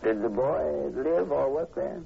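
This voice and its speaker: An actor playing an inquisitive university professor in a 1940s radio horror drama, measured and probing, with hesitant, deliberate phrasing. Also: male voice, mid-range voice, gravelly, over a noisy crowd. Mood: probing, thoughtful. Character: inquisitive male university professor investigating eerie killings. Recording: muffled, hissy old radio recording.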